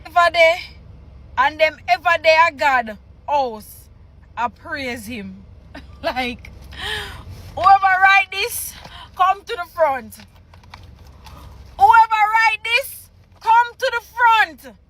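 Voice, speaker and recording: A Jamaican woman with a high, bright-pitched voice talks slowly and deliberately. She reacts with incredulous laughter and drives points home by repeating them. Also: expressive. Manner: incredulous, laughing, emphatic repetition. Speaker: reaction video host. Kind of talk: casual reaction commentary, reading aloud.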